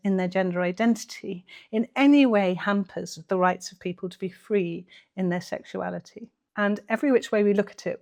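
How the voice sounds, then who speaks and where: A woman's voice: medium pitch, a little mellow. Earnest, emphatic woman commentator. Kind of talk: conversational reaction commentary to camera.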